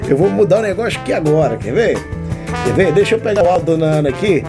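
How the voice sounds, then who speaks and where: A man hosting a call-in radio show, greeting callers warmly and humming along. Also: a little high, fast talker, music in the background. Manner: lively, affectionate, sings along in bits. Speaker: radio host.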